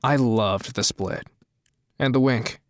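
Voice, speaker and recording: A man's voice: medium pitch, slow pace, background noise, lightly acted. A man playing a domineering, smug, flirtatious crime boss in an audio drama, commanding and insistent.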